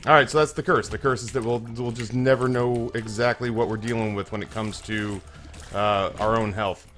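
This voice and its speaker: Slightly hoarse man rambling over gameplay. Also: medium pitch, fairly even intonation, normal speed, a bit bright, faint music behind. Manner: rambling, self-mocking storytelling with frequent restarts. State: a little hoarse. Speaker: gaming channel host. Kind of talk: casual let's-play commentary.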